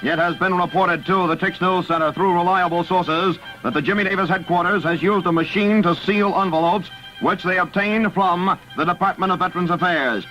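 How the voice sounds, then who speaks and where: A man reading a radio newscast from the late 1950s in a brisk, clipped headline style. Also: high-pitched, steady tone, bright, forceful tone, faint music behind. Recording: muffled old AM radio broadcast.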